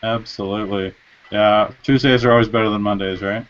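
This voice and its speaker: Male host in his forties, low voice, upbeat interviewer, home office, muffled webcam sound. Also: steady tone, brisk, slight background noise. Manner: upbeat host, repeats "excellent". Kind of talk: live online interview hosting. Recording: dull lo-fi webcam audio.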